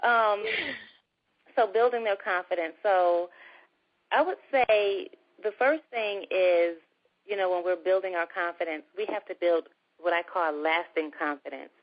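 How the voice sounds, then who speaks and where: A woman with a soft voice, chatting as a guest in a casual conversation. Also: on the low side, measured pace. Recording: dull lo-fi recording.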